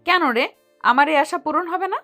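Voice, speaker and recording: Woman acting bossy young female, high pitch, sharp-tongued, mocking, audio love story, music underneath. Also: normal speed. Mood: indignant, scolding. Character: stern, scolding young woman, older than her suitor.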